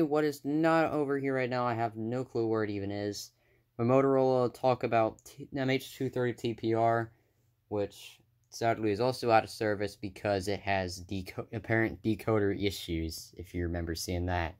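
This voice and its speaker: Casual young man listing his gadgets. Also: on the low side. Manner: offhand, listing items with fillers and self-corrections. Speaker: hobbyist vlogger. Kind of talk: casual show-and-tell narration. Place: indoors, room with carpeted floor.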